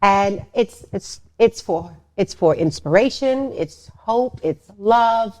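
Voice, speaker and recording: A middle-aged woman from Suriname and the Netherlands telling their story casually, often pausing and repeating words. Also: mid-range voice, expressive, mellow. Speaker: show host and dancer telling their story.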